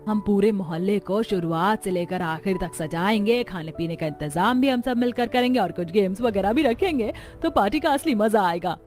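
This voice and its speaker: A woman acting as a young Indian daughter-in-law in a cartoon story, chatty and friendly with her neighbours. Her delivery is expressive and conversational at a medium pitch, with faint music behind her. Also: moderate pace, hardly any pauses, close to an everyday voice. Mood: cheerful, eager.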